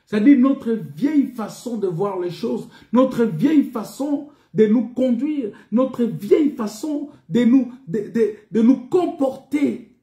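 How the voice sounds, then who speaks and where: A man delivers a sermon in a high, dark-edged mellow voice. He teaches emphatically, repeating phrases and posing questions as he goes, slowly and deliberately. The sound rings in an echoey room. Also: expressive. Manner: emphatic, repetitive, didactic with rhetorical questions. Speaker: preacher.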